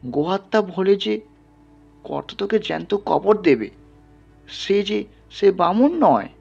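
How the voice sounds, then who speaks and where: A man voicing an angry, scornful village Brahmin who hectors a poor man loudly in an audio story. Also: high-pitched, sing-song, a little slow, vocal fry, breathy edge, highs cut off, faint music behind, pitched above the performer's own voice, full character voice. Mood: scolding. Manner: loud, scolding, hectoring.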